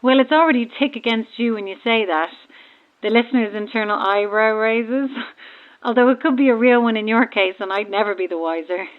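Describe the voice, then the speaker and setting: An actress playing an eerie, self-aware believer in ghosts calling from a stranded boat, conversational and cryptic, through a radio filter. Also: female voice, a little high, a little fast, narrow, tinny audio. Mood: chatty, keen. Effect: radio transmission filter. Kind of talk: naturalistic audio horror drama acting. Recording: thin, band-limited marine radio.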